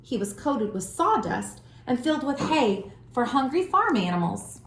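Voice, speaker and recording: A woman reading a children's storybook aloud as a storyteller, with expressive, deliberate phrasing. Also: high voice. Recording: roomy, echoing room sound.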